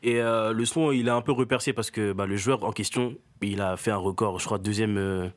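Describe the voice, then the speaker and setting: Low-voiced guy rambling about a song, relaxed, lots of ums. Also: fairly quick. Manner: laid-back, rambling, many fillers. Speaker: co-host. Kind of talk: casual banter on a radio-style show.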